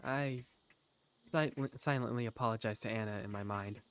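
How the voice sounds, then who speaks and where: A woman's voice: very deep voice, moderate pace, smooth. A woman reading scripted first-person story narration for a fan-dubbed game.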